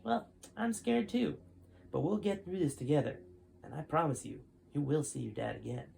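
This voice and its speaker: A man voicing a steady, reassuring older family friend in a storybook, earnest and comforting. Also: on the high side, normal speed, creaky, slight background noise, pitched above the performer's own voice. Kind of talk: storybook character dialogue.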